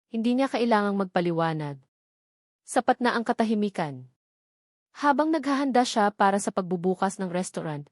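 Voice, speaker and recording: A woman's voice: medium pitch, darkish tone, dry recording, studio quality. A woman narrator reading a scripted romance story in a dramatic storytelling style.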